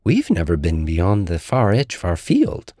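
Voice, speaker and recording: A man's voice: low-pitched, wildly swooping pitch, a little slow, warm tone, no room sound, studio quality, full character voice. A man voicing a kind, homely hare who is welcoming and given to fond exclamations. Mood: dreamy.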